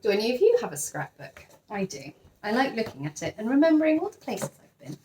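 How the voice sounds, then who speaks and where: A woman's voice: on the high side, big pitch swings, fast talker, dark tone, a little hiss or hum. A woman teacher reading a storybook expressively to young children, with chatty asides to the listeners. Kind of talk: animated storybook read-aloud.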